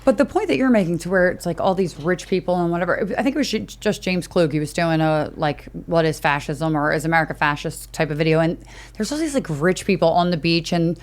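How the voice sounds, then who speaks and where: A woman chatting on a podcast panel, her voice a little lower than most women's. She talks casually and loosely, with plenty of filler words. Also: expressive, a little fast. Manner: chatty, casual with many likes.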